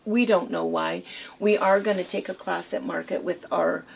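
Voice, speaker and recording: A hoarse woman co-hosting a quilt shop chat, rambling casually with lots of fillers. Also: fairly low pitch, steady tone, normal speed, a little hiss or hum. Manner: chatty, rambling with many fillers.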